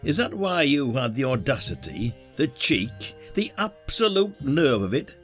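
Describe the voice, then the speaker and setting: Detective role, male actor: British, cheeky and shrewd, theatrical needling, very lively intonation, music underneath. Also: mid-range voice, unhurried. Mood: indignant. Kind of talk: radio drama acting.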